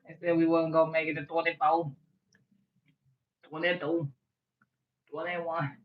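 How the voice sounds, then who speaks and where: A woman's voice: low voice, flat delivery, a little slow, narrow, tinny audio. A woman in her early thirties from the US Midwest, with a light voice, hosting a late-night livestream in a rambling, blunt and profane way. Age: 30s. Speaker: livestream host. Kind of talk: late-night casual stream rant.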